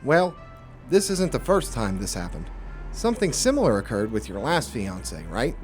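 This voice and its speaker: A man's voice: medium pitch, big pitch swings, moderate pace, lightly acted. Man acting a brooding 1940s private eye, roomy sound. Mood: wry, guarded. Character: hard-boiled, world-weary 1940s private investigator. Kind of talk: noir radio drama acting and narration. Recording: roomy, distant microphone, music underneath.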